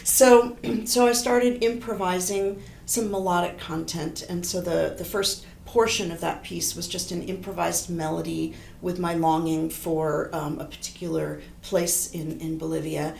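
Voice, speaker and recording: A middle-aged woman, a horn player and composer, talking in a relaxed, thoughtful interview and often restarting her sentences. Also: measured pace, gravelly, hardly any pauses, roomy sound, a little hiss or hum. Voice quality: low voice. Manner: thoughtful, rambling, frequent restarts.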